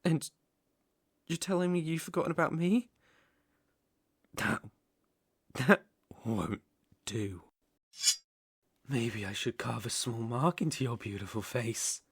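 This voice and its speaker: A man's voice: medium pitch, lively intonation, slightly rough, dry recording, studio quality, full character voice. A man playing an obsessive, sinister young villain king in an ASMR roleplay, speaking theatrically and sometimes dropping to a whisper. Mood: wounded, then threatening. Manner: sinister, theatrical, slow drawn-out phrasing with whispers.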